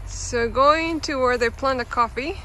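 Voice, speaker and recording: A woman's voice: high voice, fairly bright tone, slight background noise. Chatty woman vlogger from Peru. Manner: chatty, pauses with fillers. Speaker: travel vlogger. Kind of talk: casual travel vlog narration. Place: outdoors on a farm. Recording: music playing underneath.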